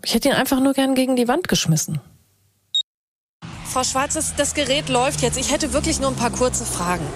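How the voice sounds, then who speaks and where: High-voiced, persistent radio reporter. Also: female voice, expressive, brisk, husky. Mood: wistful musing. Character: curious, persistent, chatty female radio journalist. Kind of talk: reporting and interviewing.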